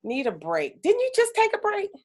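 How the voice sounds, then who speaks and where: A woman teaching an energy healing class on a video call, chatty and animated, with lots of fillers. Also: extremely high pitch, moderate pace.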